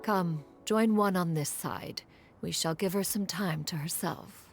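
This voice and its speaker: An actress playing a proud, self-assured immortal inventor in a video game, speaking in a formal, archaic manner. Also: female voice, on the low side, unhurried, over music. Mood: composed. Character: dignified, proud immortal female adeptus inventor. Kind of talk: video game character acting.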